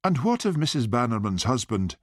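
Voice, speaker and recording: A man's voice: mid-range voice, very animated, fairly quick, no room sound, pitched above the performer's own voice. A man voicing a shrewd, skeptical policeman who asks dry, pointed questions. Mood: probing.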